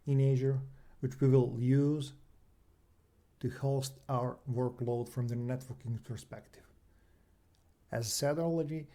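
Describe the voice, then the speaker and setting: Male online tech trainer, native Serbian speaker with Serbian accent. Warm, relaxed timbre, explanatory delivery, slightly halting phrasing. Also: medium pitch. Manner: halting, non-native English phrasing with self-posed questions. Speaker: cloud engineer and trainer presenting an online session. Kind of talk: explanatory technical presentation.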